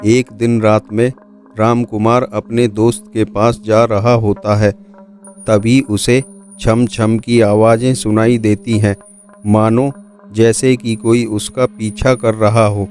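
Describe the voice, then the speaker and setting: A man's voice: lowish voice, little pitch movement, slow, a little mellow. A man reading scripted narration of a horror folk tale for a cartoon. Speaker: storyteller. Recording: music behind the voice.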